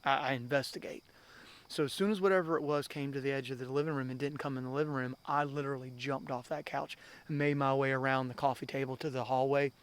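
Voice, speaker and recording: A man with young children telling ghost stories to camera in a casual, rambling way, often repeating himself and calling viewers guys, a loud talker by his own account. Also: medium pitch, steady tone, quick pace. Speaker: channel host.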